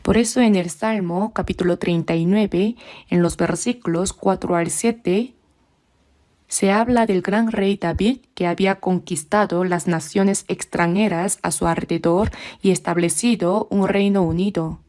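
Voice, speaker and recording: A woman delivers a sermon in a warm, mellow voice. She speaks at a measured pace and draws out the scripture passages slowly. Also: fairly low pitch, steady tone. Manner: measured, slows down for scripture readings. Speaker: preacher. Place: church.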